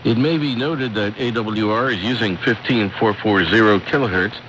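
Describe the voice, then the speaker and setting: A man's voice: on the low side, normal speed. A man hosting a radio program, reading a scripted report over a shortwave broadcast. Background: radio static and noise.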